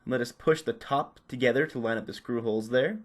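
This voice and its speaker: A man with a medium-pitched voice presents a practical tutorial. He explains each step casually and without a script, talking as he works. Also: a little fast. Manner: unscripted, narrating while working. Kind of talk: casual step-by-step hands-on instruction.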